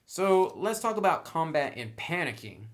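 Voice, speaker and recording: A man's voice: a little high, very animated, normal speed. A man giving a casual, conversational review of a tabletop game, explaining rules and sharing his own opinions.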